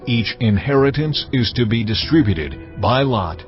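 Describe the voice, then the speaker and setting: An actor playing God as a solemn lawgiver, measured and commanding, in a dramatised scripture reading. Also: male voice, mid-range voice, animated, natural voice. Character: God, solemn male lawgiver speaking to Moses. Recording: slightly muffled, music underneath. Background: background music.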